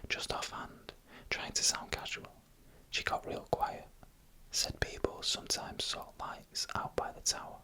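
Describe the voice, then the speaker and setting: Bright, whispering ASMR storyteller. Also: low-quality recording. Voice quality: breathy unvoiced whisper. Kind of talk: whispered ASMR narration of scary first-person stories.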